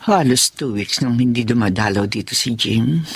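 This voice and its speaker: An actor playing a vengeful, scheming parent who gloats bitterly in a husky, gravelly radio drama monologue. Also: male voice, mid-range voice, normal speed. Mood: scheming, gloating.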